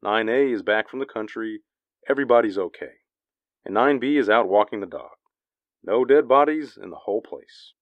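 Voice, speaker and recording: An actor playing a grumbling, evasive building caretaker in a 1940s radio drama. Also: male voice, lowish voice, expressive, normal speed, no echo, narrow, tinny audio. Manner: dismissive and grumbling.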